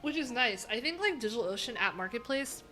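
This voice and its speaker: A woman thinking aloud in a casual, unscripted tech livestream, informal and halting, often trailing off mid-thought. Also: fairly high pitch, animated, sharp tone, soft background music. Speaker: livestream host, web developer.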